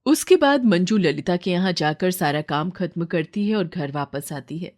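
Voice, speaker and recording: A woman's voice: fairly low pitch, animated, normal speed, darkish tone. A woman narrator reading a scripted moral story.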